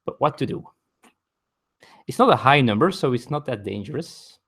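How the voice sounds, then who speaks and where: A man with a non-native English accent talks through a board game from his table at home. His pitch rises and falls a lot as he explains each rule. He chats with viewers between turns and often stops to correct himself. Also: lively intonation, moderate pace, fairly bright tone, slightly rough. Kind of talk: live-streamed board game play explaining rules.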